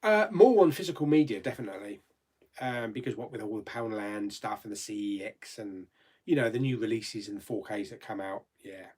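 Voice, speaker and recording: A man's voice: lowish voice, animated, fairly quick, a little mellow, breathy edge. A man hosting a casual live chat, rambling and reading out viewer comments, laughing easily and swearing mildly.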